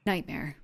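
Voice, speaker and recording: A woman playing an earnest, excitable young superhero fan in an audio drama. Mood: shaken.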